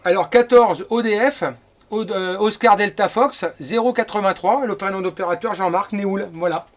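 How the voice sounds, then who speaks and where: A man's voice: high-pitched, moderate pace. A middle-aged man from France chatting casually as an amateur radio operator.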